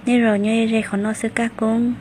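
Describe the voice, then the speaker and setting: A woman gives voice to a young village woman in a homemade cartoon. Her voice sits fairly high and mellow, and her pitch stays flat and even. She talks plainly, recorded on a phone with faint music underneath. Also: moderate pace, a little hiss or hum, natural voice. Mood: hushed. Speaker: voice actor. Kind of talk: amateur cartoon voice acting. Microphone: phone microphone.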